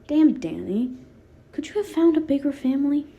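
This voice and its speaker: A woman's voice: sits high, sing-song, moderate pace, creaky, warm tone, highs cut off, pitched above the performer's own voice, exaggerated voice. A woman voicing a feral, needy little sister with a blunt, whiny manner in a fan fiction audiobook. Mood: incredulous, curious.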